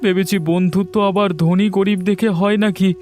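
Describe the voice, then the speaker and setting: A man's voice: sits high, steady tone, normal speed, darkish tone, lightly acted. A man voicing a poor, good-natured, naive village father in a cartoon folk tale. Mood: hurt. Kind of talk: Bengali cartoon fairy tale dialogue. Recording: music playing behind the voice.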